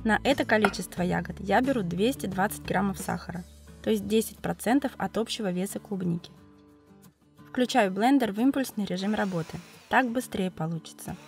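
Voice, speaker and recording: A woman with a cold, narrating a cooking video as its host, explaining each step calmly and methodically. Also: mid-range voice, fairly quick, faint music behind. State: has a cold, voice affected. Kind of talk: calm recipe voice-over narration.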